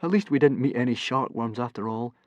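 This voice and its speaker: A man's voice: medium pitch, normal speed, no room sound, highs cut off, voice pushed up, natural voice. A man voicing a timid, miserable Viking boy in an audiobook, meek, stammering and apologetic. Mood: glum.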